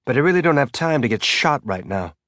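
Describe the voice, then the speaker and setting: A man's voice: mid-range voice, sing-song, fairly quick, a bit bright, no room sound, studio quality, pitched above the performer's own voice. Worn-out male captain, harried, distracted, voiced by a man. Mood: weary. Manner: weary, distracted.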